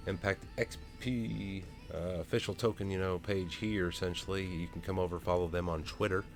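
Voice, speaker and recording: A man's voice: sits low, fairly even intonation, normal speed, soft background music. A man, casually talking through a crypto project on a screen share with many ums and uhs. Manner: rambling, many fillers. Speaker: crypto vlogger.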